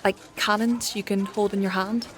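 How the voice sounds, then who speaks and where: An actress playing a helpful, matter-of-fact female soldier in a dark fantasy audio drama, informal and obliging in manner. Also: mid-range voice, fairly even intonation, normal speed, slight background noise, close to an everyday voice. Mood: friendly, practical. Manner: plain-spoken, informal, obliging.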